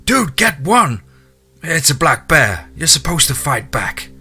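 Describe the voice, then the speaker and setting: A narrator as a panicked man yelling orders, raised pitch, forceful, urgent, profane, horror story. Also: big pitch swings, measured pace, husky, faint music behind, heavily acted. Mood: frantic yelling. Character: frantic armed man shouting orders at a stranger.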